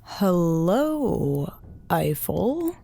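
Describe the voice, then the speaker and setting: A woman playing a suspicious, stern spaceship commanding officer in an audio drama, with a deadpan, sardonic delivery. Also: lowish voice, very slow, creaky, exaggerated voice. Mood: wary.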